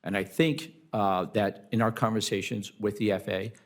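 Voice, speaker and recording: A man testifying as a witness at a hearing, explaining his points formally but unscripted, in a measured, courteous way. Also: fairly low pitch, fairly even intonation. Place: committee hearing room.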